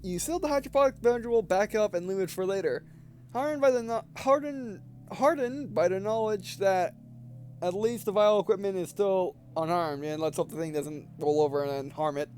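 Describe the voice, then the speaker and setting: High-pitched young man, casual gaming commentary. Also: a little mellow, faint music behind. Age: 20s. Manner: halting, repeats and rereads phrases. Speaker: gaming video host. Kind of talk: casual let's play commentary, reading game text aloud.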